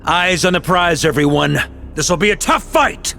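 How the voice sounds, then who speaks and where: A man's voice: sits high, animated, moderate pace, gravelly, loud music behind the voice. A man playing a commanding, dryly amused knight leader in a video game, speaking with dramatic authority. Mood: grim, focused.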